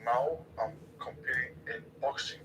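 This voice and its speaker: A man giving halting interview answers with fillers, heard from a video playing in the room. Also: medium pitch, a bit bright, slight background noise. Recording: faint, distant, played through speakers.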